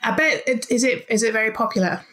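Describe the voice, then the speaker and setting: Higher-voiced Englishwoman hosting a chatty podcast. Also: fast. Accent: English, mostly southern with faded Yorkshire traces. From Leeds, Yorkshire, England. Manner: informal, giggly, expressive. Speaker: podcast host.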